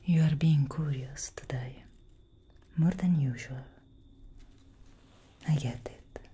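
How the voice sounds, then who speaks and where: A young woman playing a brooding, protective elder vampire sister in a soft, breathy, whispered ASMR roleplay. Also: sits low, exaggerated voice. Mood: tender, sorrowful. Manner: breathy, slow, intimate, dramatic.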